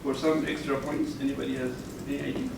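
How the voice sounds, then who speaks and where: A male university lecturer giving a classroom lecture, speaking slowly and deliberately with careful enunciation and frequent restarts. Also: mid-range voice, level tone, creaky. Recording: echoey classroom from far off, faint background noise.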